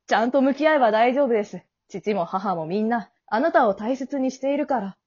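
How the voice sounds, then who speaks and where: Woman playing a polite, high-voiced male afterlife guide. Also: animated, brisk, darkish tone, dull audio. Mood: calm, explanatory. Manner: businesslike polite speech, earnest, reassuring. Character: polite, kindly male guide of the afterlife, secretly an older brother. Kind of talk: amateur short radio drama acting.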